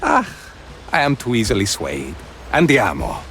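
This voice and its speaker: A man's voice: mid-range voice, huge pitch swings, measured pace, a bit bright, a little hiss or hum, exaggerated voice. A man voicing a sly, suave helper in a video game, with a courtly, teasing drawl. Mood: amused, suave.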